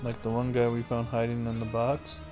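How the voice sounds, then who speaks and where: A man's voice: medium pitch, monotone, a little fast, over loud music. A man livestreaming a game, reacting and reading dialogue aloud. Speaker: streamer.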